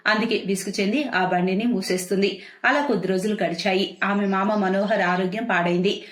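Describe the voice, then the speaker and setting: A woman's voice: mid-range voice, steady tone, a little fast, a bit bright, echoey room. A woman narrating a scripted moral story as a storyteller.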